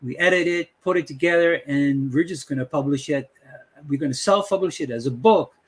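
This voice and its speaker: Rambling Dutch-accented man, higher voice. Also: a little fast, slightly rough. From Dutch West Indies. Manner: rambling, many uh fillers. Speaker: channel host. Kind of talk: casual screen-share tutorial intro.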